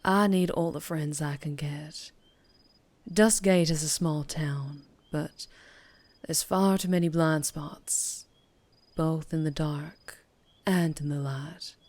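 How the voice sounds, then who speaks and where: Casting: woman to play a sultry Southern US female vampire biker for ASMR roleplay; low register, unhurried, teasing and guarded. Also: darkish tone, dry recording. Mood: wry, wary. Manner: slow, low, teasing, lapsing into breathy whispers. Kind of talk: ASMR roleplay, scripted character acting.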